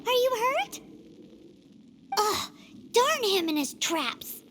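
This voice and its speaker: A woman voicing a tiny childlike fairy companion in a video game, squeaky, earnest and plucky. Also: sing-song, takes it slowly, noisy background, exaggerated voice. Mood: worried, plucky.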